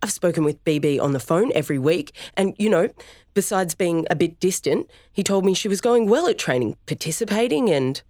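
A woman reads a middle-aged father in an audiobook, indignant and defensive. Her voice stays low and dry, close to the mic. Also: animated, a little fast, darkish tone, dry recording, lightly acted.

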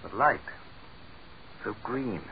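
An actor playing a haughty, sardonic gentleman passenger in a 1940s radio drama, with a dry and impatient manner. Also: lowish voice, animated, slow. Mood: uneasy. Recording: muffled old radio broadcast with faint hiss.

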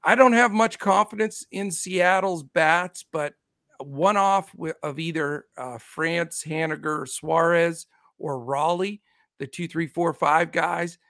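A man with a gravelly, fraying voice runs through numbers at a chatty clip. His voice sits a bit high, and the sound is dry and close. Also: fairly bright tone, dry recording. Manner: rattles off numbers quickly, chatty. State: losing his voice. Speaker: podcast host. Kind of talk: casual sports betting podcast talk.

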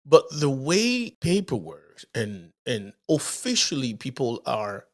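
A man's voice: mid-range voice, very animated, slow. A man from the Dominican Republic co-hosting a podcast, chatting casually and often repeating words as he starts a thought. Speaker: podcast co-host. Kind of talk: casual conversation.

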